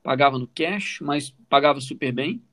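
A man's voice: fairly high pitch, normal speed, a bit bright. A man with a Brazilian Portuguese accent telling his own story in a casual, informal way as a podcast co-host, often adding little check-in questions.

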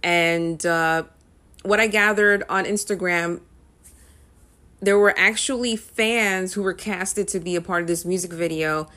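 A woman's voice: on the low side, a little slow, sharp tone. A young woman talking casually to camera as a music vlogger, rambling with frequent ums and uhs.

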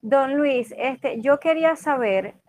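A Venezuelan woman with a high-pitched voice. She speaks politely and without a script as a caller, explaining her circumstances and asking for guidance. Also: takes it slowly, a little mellow. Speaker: caller asking a legal question.

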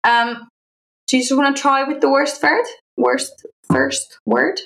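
A woman's voice: high-pitched, sing-song, unhurried, creaky, fairly bright tone. A woman from Finland, a native Finnish speaker, chatting casually as a podcast co-host and sharing news in informal, hesitant phrasing. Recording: roomy, echoey sound.

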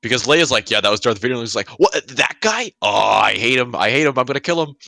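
A man's voice: mid-range voice, animated, fast talker, vocal fry, bright, forceful tone, dry recording, clean studio sound. A man co-hosting a podcast, chatting informally with friends and acting out bits of paraphrased dialogue. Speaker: podcast co-host. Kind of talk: casual chat with friends.

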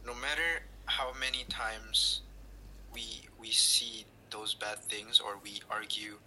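A man's voice: lowish voice, fairly even intonation, slow, pushed and bright, poor audio. A man speaking as a podcast guest, hesitant, often stopping to search for words. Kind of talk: casual chat. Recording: faint background noise.

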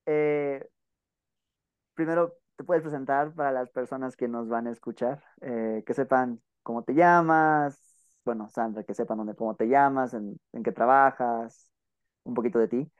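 A man's voice: medium pitch, a little slow, clean tone, highs cut off. A man with a Mexican Spanish accent speaking informally and chattily as a podcast host and interviewer. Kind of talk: casual podcast talk and interview.

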